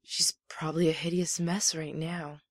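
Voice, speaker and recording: A woman's voice: low voice, level tone, normal speed, no room sound. A woman playing a spiteful, defensive underling in an audio drama. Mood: smug spite.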